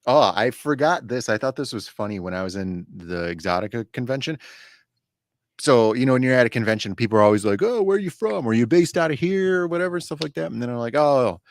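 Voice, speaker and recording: A man's voice: medium pitch, expressive, fast, no room sound. A man from Chicago with a Chicago accent, co-hosting a casual livestream, easygoing and anecdotal. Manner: easygoing, short agreeing replies and anecdotes. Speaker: co-host of a comics livestream.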